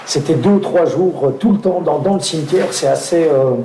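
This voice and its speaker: A man's voice: on the high side, a little fast, warm tone. Male actor guest, hesitant anecdotal delivery, echoey live venue with faint noise. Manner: hesitant, many fillers and restarts, laughs while telling anecdotes. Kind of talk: live talk on stage, storytelling.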